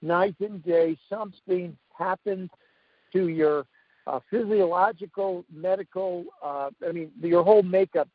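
A man hosting an interview, talking haltingly with many fillers and repeated words. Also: high voice, dry recording. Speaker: host. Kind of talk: casual interview talk. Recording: dull lo-fi call audio.

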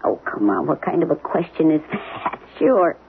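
An actress in a 1970s radio drama playing a worried, practical middle-aged wife, coaxing and questioning in plain words. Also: female voice, low voice, very animated, moderate pace. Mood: disbelieving. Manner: probing, coaxing, plain-spoken. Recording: tinny, hissy old radio broadcast.

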